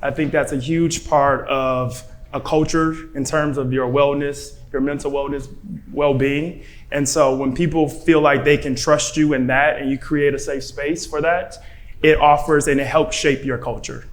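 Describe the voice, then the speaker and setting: Calm man with a higher voice. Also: moderate pace. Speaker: panelist.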